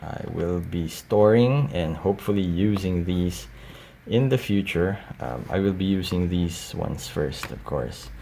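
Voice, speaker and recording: A man giving a casual, unscripted show-and-tell in a hesitant, halting way. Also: low voice, vocal fry. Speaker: collector showing cassette tapes. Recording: audible steady background noise.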